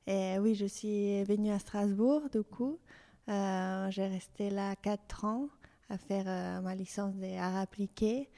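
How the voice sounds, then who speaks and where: A woman's voice: mid-range voice, fairly even intonation, slow, warm tone. A young woman from Mexico with a Mexican Spanish accent, a fashion designer speaking as an interview guest in French, not her first language, hesitant with many fillers and restarts. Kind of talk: interview conversation.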